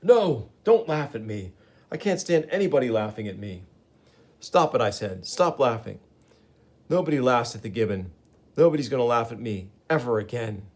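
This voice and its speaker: A man voicing a touchy, shrill man in an ape suit who rants and pleads to be taken seriously. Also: lively intonation, moderate pace, close to an everyday voice. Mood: ranting. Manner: whining, rising to frantic yells.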